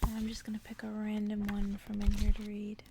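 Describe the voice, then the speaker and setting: A woman whispering close to the mic in a hushed, soothing ASMR style, as if reading and chatting someone to sleep. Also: medium pitch, completely flat delivery. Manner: hushed whispering, soothing slow repetition of phrases. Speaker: ASMR host and book reader. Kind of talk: whispered ASMR, casual chat and bedtime story reading. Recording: faint background noise.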